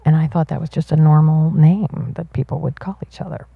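Low, gravelly, husky woman interpreter. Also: steady tone, a little fast, no room sound, narrow, tinny audio. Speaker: sign language interpreter voicing a panelist. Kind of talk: live voicing of a signed panel talk. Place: auditorium stage panel.

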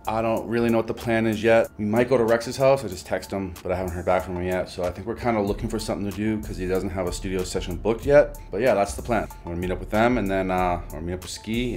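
A young man talks to the camera about his evening plans, loose and unhurried in manner. His voice is very low, and his words come out quickly. Faint music plays under him. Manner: relaxed, unsure planning. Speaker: vlogger. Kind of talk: casual vlog narration.

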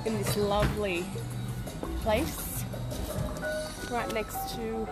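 A woman's voice: medium pitch, level tone, extremely slow. A woman in her thirties with an Australian accent chatting casually and playfully in a slangy road-trip vlog, recorded on a phone. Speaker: vlogger. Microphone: phone at arm's length. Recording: loud music behind phone vlog audio. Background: loud music.

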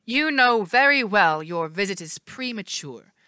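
A stately queen of the gods who speaks slowly and with calm command, as a woman reading a story puts her on. Her words come measured and regal. The voice stays bright and close, with no room sound. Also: a little high, clear, resonant tone, no echo, voice pushed up, heavily acted.

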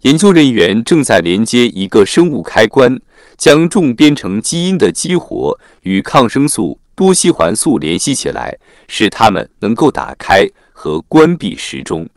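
A man narrating a written article in a formal, measured read-aloud manner. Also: medium pitch, sing-song, no echo, studio quality.

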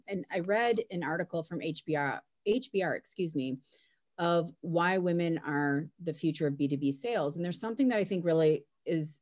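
A middle-aged woman with a fairly low voice moderates a webinar panel over a video call. She talks in a chatty, self-deprecating way. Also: a little mellow. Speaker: host and moderator. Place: home room, indoors.

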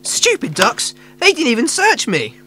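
A woman's voice: fairly high pitch, huge pitch swings, a little slow, very noisy. A woman playing a cocky, laid-back magical talking duck in a radio drama, with slangy delivery. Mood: indignant. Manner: slangy, dude-ish, carefree.

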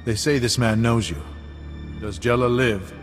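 A man's voice: mid-range voice, a little slow, in a large hall, over music, natural voice. An actor playing a grateful fugitive father in a video game, earnest and weary.

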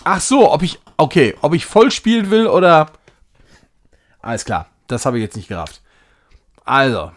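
A man's voice: on the high side, huge pitch swings, normal speed, pauses often. A man giving casual live game commentary as a streamer, thinking aloud in short muttered phrases. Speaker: streamer playing a retro puzzle game.

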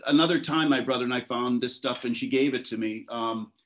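Male, 50s, American, mid-pitched; relaxed storytelling as a guest on a video-call interview. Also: brisk. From born in France to American parents, raised between Europe, Washington and West Africa. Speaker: interview guest, maritime professional. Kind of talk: casual reminiscing in a video conversation. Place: wood-beamed room at home, indoors.

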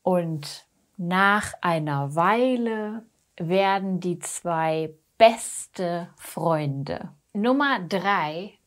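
A young woman from Germany teaching with slow, simplified storytelling for language learners, using simple phrases, pauses and emphasis on key words. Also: fairly low pitch. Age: young adult. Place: small attic room indoors. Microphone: lapel mic.

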